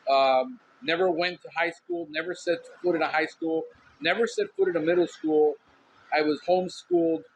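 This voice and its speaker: A man from Miami with a Cuban Miami accent, hosting a podcast in a casual monologue, loud, outspoken and candid, smoking a cigar as he talks. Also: a little high, fairly even intonation, normal speed, husky, rough recording. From Miami, USA. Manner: smoking a cigar while talking. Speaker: podcast host.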